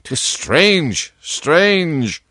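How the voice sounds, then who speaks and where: Man acting a frail, slow old king. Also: on the high side, sing-song, pushed and bright, dry recording, voice pushed up, exaggerated voice. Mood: confused, feeble. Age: older. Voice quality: feeble, weighty. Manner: slow archaic royal speech, commanding. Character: frail old dying king, wrathful and sorrowful by turns.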